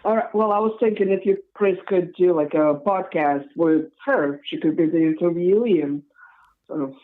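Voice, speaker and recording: A woman's voice: lowish voice, normal speed. A woman calling in to a podcast, polite and a little hesitant. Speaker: caller. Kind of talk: phone call-in. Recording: tinny phone line.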